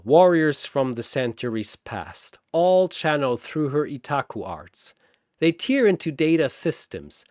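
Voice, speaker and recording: A man's voice: medium pitch, no room sound, studio quality. A man reading a scripted battle story as a dramatic narrator.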